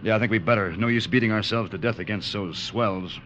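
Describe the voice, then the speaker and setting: An American man acting a gruff, middle-aged ship's officer in a 1950s radio drama, with clipped, brusque speech. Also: lowish voice, fairly quick, lightly acted. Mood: brisk, businesslike. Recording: muffled, noisy old radio recording.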